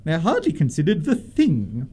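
Snobbish dowager, commanding and haughty, very animated intonation, radio comedy. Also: female voice, low voice, moderate pace, exaggerated voice. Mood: disdainful. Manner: haughty, commanding, grandly emphatic. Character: imperious, snobbish older aristocratic lady. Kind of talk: stage comedy radio acting.

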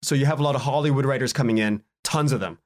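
A man speaking as a podcast guest in casual interview chat, informal and halting as he thinks aloud. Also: medium pitch.

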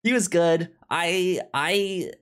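A man's voice: high voice, slow pace, a bit bright, smooth. A man co-hosting a podcast in casual discussion of comics, often repeating and restarting his words as he goes. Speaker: podcast co-host.

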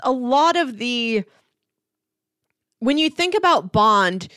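A woman's voice: fairly high pitch, lively intonation, slow, a bit bright, no room sound, studio quality. A woman co-hosting a film podcast, chatting casually in a conversational way. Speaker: podcast co-host. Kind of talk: casual film discussion chat.

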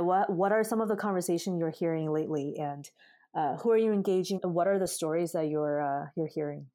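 Low-voiced woman, earnest, dry sound. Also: mellow, no echo. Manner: earnest, thoughtful, with fillers. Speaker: campaign organizer. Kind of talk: casual chat.

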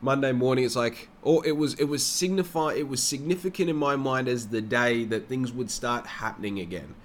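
A man's voice: mid-range voice, fairly quick, slight background noise. A young man rambling through a casual personal monologue, swearing freely. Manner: rambling and heavily profane.

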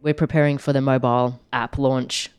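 A woman who is sick and sounds croaky, chatting casually as a podcast co-host, with many "like" fillers. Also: very deep voice, level tone, moderate pace, a little mellow, no room sound. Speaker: podcast co-host, app maker. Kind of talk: casual chat.

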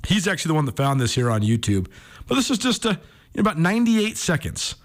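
A man hosting a sports radio show in a conversational, emphatic monologue, with halting starts and drawn-out stressed words. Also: on the high side, sing-song.

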